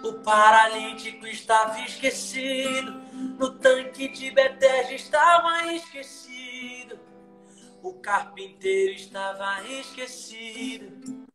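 A man's voice: very high voice, fairly even intonation, slow pace, bright, forceful tone, reverberant, soft background music. A young man preaching fervently on a live stream from a small room, slipping into song, filmed on a phone at arm's length. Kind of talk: preaching and singing on a live video call. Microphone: phone camera at arm's length.

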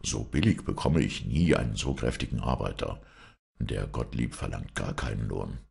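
Man doing a sheepish, gravelly, very deep henpecked squire. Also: normal speed, darkish tone, full character voice. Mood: placating. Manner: stammering, timid, placating. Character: stingy, sheepish, meek country landowner, henpecked husband. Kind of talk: dramatized storybook reading.

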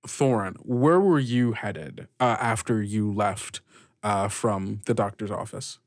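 A man narrating a tabletop game as game master, improvising phrase by phrase in a halting way. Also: fairly low pitch, no echo, studio quality.

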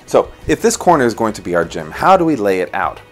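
A man in his thirties with a lowish voice explains a room layout in a home office, his pitch rising and falling freely. He talks close to a lapel mic, and soft music plays underneath. His manner is animated and hands-on. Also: fast talker. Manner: animated, gestures while explaining. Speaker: interior designer host. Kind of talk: design walkthrough explaining a floor plan.

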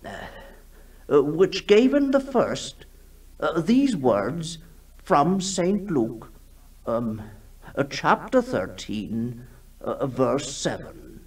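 A fussy period gentleman in an old radio play, husky and slow. His formal delivery halts and swoops, a little away from the mic. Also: fairly high pitch, wildly swooping pitch, exaggerated voice. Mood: hesitant, earnest. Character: scholarly, hesitant old-time gentleman writing a solemn account. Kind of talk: 1960s radio drama acting. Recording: distant 1960s radio recording.

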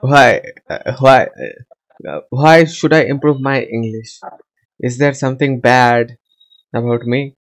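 A man's voice: medium pitch, takes it slowly. A young man in his teens from India with an Indian accent, chatting casually and hesitantly, repeating his phrases. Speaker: host of English conversation chats. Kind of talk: casual chat. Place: small room with shelves. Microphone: headset on video call.

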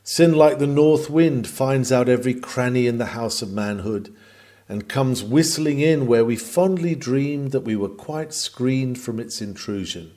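Male sermon reader, medium pitch, mellow tone, oratorical delivery of Victorian prose with weighted pauses. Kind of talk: dramatic sermon reading of a Victorian text.

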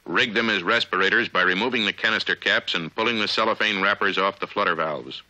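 An American man narrating a scripted 1950s military training film in a measured, authoritative, instructional way, on a vintage film soundtrack. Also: fairly low pitch, sharp tone, rough recording.

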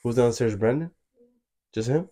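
A man's voice: mid-range voice, moderate pace, dark tone. A young man, chatting and joking with his girlfriend in a bedroom while she does his makeup, laughing often and using loose slang. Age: young adult. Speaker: vlogger. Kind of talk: casual chat. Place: small bedroom, indoors.

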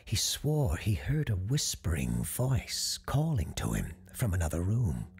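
A storyteller narrating a scripted ghost story in a hushed, deliberate, suspenseful and dramatic way. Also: low-pitched, vocal fry. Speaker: storyteller, host.

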